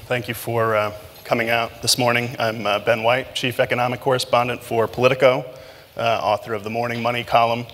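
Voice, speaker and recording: A man moderating a live breakfast event, talking loosely with many filler pauses as he asks his guests questions. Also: medium pitch, little pitch movement, fairly bright tone, long reverb tail. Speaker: moderator and journalist. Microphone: handheld mic.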